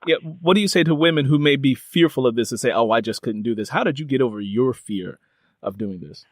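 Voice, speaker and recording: A man's voice: medium pitch, fast, dry recording, studio quality. An American man hosting a conversational interview, putting questions in a wordy, circling way with repeated phrases. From United States.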